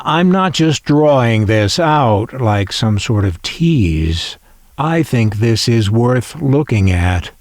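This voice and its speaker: A man hosting a collector video, calmly describing an old item and reading from its manual, with wry little asides. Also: medium pitch, no room sound, clean studio sound. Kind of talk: unhurried descriptive show-and-tell.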